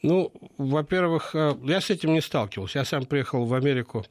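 A man's voice: a little high, fairly quick, dry recording. A middle-aged man giving interview answers, talking with hesitations, frequent fillers and restarts. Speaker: interview guest.

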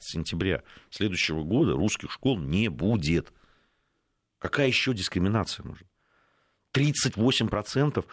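Deep-voiced argumentative man, dry studio. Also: dry recording. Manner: argumentative, rising to stress points. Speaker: political talk show co-host. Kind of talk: political studio discussion.

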